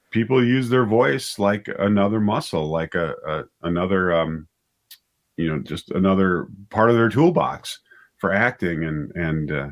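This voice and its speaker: This is a middle-aged man with a fairly low voice, talking casually as an interview guest. He is enthusiastic and wanders as he goes, doubling back on words while he thinks out loud. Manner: rambling, enthusiastic, repeats words as he thinks aloud. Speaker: interview guest, audio drama writer and director.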